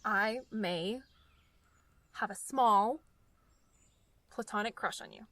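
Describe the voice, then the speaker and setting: A woman's voice: mid-range voice, takes it slowly, a bit bright, no room sound. A young woman playing a blunt, sardonic, stubborn college girl in an audio drama, with a wry, teasing manner. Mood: defensive, nervous. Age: young adult.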